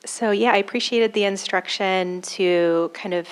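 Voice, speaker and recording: A woman with a very quiet voice shares her meditation experience in a tentative way, full of fillers. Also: medium pitch, measured pace, clear, resonant tone. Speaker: student sharing in a group. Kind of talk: casual sharing. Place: meditation hall with a group.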